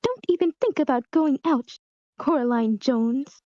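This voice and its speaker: A woman's voice: sits high, expressive, measured pace, warm tone, no echo. A woman voicing a bored, pestering, defiant young girl in an amateur screenplay table read, on a slightly dull online call. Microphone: video call.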